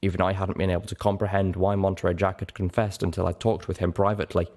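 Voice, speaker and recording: Guy reading a story out loud, lowish voice, dramatic first person narration. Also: level tone, fast talker. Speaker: narrator of a fan fiction reading show.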